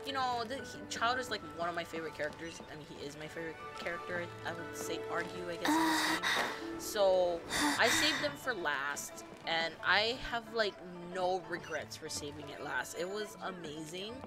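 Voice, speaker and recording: A woman streamer rambling casually and excitedly, full of fillers and the odd swear word. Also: on the low side, moderate pace, loud music behind the voice. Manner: gushing, animated, swearing casually, lots of fillers. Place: home streaming setup.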